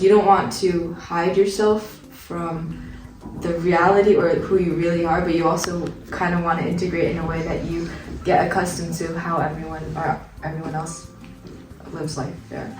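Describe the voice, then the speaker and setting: Lower-voiced young American woman. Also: a little mellow. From Long Island, New York. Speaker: exchange student. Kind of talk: casual chat. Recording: echoey room, distant mic, music behind.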